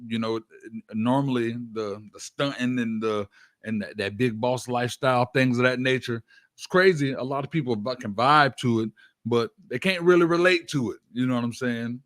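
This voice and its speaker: A man's voice: medium pitch, normal speed, a bit bright, dry recording, clean studio sound. A man hosting a radio show in a casual, slangy interview style, often checking in with his listener. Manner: slangy, uses frequent check-in phrases.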